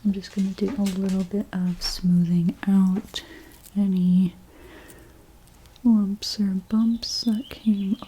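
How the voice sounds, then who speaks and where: A woman whispering in an ASMR role play as a hairstylist, gently talking through each step in a breathy, mostly unvoiced voice. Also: medium pitch, little pitch movement, a little hiss or hum. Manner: gentle, unhurried, step-by-step personal attention. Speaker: ASMR creator playing a hairstylist.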